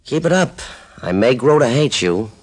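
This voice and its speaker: An American man playing a smooth-talking gambler and club boss in an old-time radio crime drama, sarcastic and full of veiled threats. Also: mid-range voice, very animated, normal speed. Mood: guarded, menacing.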